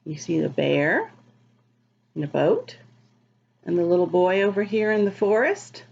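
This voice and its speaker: A woman reading a picture book aloud to children in a teacherly, expressive, sing-song way, explaining and asking questions as she goes. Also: on the low side, measured pace, gravelly, husky, muffled recording. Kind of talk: read-aloud storytime with asides to children.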